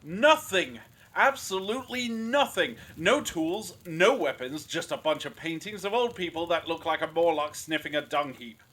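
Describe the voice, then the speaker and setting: A man's voice: a little high, animated, normal speed, a bit bright. Man playing a grumpy, sarcastic captive. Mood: exasperated. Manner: scornful, irritable, cutting. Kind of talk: fan audio drama acting.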